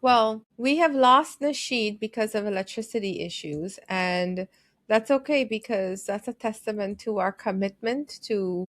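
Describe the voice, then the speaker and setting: A woman's voice: mid-range voice, measured pace, fairly bright tone, no room sound. A woman from Guyana hosting a remote podcast, asking long, winding, earnest questions. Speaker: podcast host. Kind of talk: conversational interview hosting. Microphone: remote call recording.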